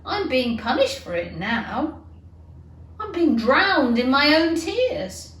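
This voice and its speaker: Woman acting a fretful, high-voiced little storybook girl. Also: big pitch swings, slow, echoey room, distant mic, exaggerated voice. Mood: miserable. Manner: plaintive, dramatic, talking to herself.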